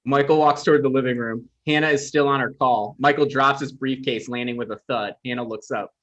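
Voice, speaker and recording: A man's voice: medium pitch, steady tone, fairly quick, fairly bright tone, highs cut off. A man giving scripted narration, reading out the stage directions for a table read.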